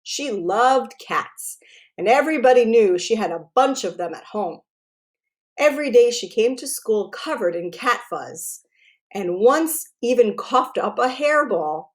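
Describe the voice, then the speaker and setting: Slow, higher-pitched woman reading a children's story. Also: animated. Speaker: read-aloud storyteller. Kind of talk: expressive children's book narration.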